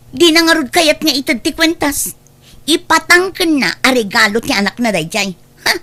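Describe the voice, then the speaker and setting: A woman's voice: high voice, fairly bright tone. A woman with a Filipino Ilocano accent playing a bold, scheming young woman in an audio drama, with noise behind her. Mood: scolding, conspiratorial. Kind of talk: Ilocano radio drama acting.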